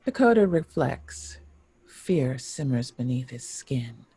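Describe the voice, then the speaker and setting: A man's voice: mid-range voice, big pitch swings, slow pace, warm tone, husky. A man giving scripted narration, reading a screenplay's stage directions.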